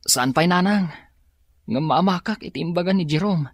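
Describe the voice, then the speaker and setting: A man's voice: high voice, moderate pace, no room sound, clean studio sound. A man with an Ilocano Filipino accent playing a troubled, earnest young man in a radio drama. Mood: puzzled.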